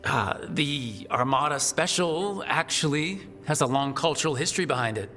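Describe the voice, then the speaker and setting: A man's voice: on the high side, animated, normal speed, bright, forceful tone. An actor playing an earnest, protective male adventurer leader in a video game, with a direct, conversational delivery. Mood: concerned, challenging. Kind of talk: video game dialogue acting. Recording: reverberant hall sound with background music.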